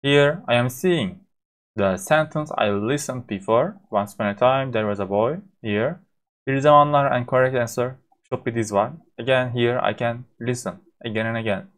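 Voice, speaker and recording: A man with a Turkish accent, a native Turkish speaker, teaching a course by walking through a software demo, with frequent fillers and halting restarts. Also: medium pitch. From Turkey. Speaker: course instructor.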